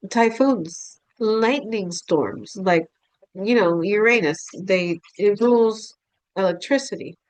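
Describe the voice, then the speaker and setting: A woman speaks with a stuffy, nasal voice from a cold. She talks slowly and loosely to her viewers, with plenty of fillers and restarts. The recording is dry, with little room sound. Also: mid-range voice, clean tone, no room sound, low-quality recording. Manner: unhurried, frequent fillers and restarts, addresses viewers as "you guys". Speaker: astrologer. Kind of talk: casual explanatory talk to camera.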